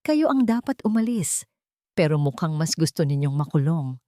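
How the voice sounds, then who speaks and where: A woman's voice: low-pitched, big pitch swings, normal speed, mellow, dry recording, clean studio sound. A woman voicing a cold, vengeful, wealthy businesswoman in an audio drama, commanding and taunting. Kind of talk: dramatic audio story dialogue.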